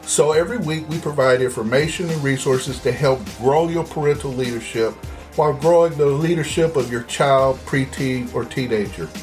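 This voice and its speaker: A man's voice: mid-range voice, moderate pace, soft background music. A man hosting a parenting podcast, interviewing a guest in a friendly, familiar way. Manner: friendly, calls guest brother. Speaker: podcast host.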